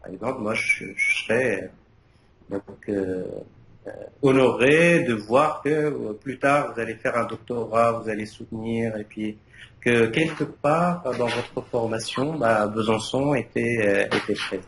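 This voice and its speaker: Older male academic, Arabic native, halting delivery full of fillers, recorded in a reverberant room with light background noise. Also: mid-range voice, a bit bright. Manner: hesitant, many fillers and restarts. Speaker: thesis jury member. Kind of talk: academic thesis feedback. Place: university defense room.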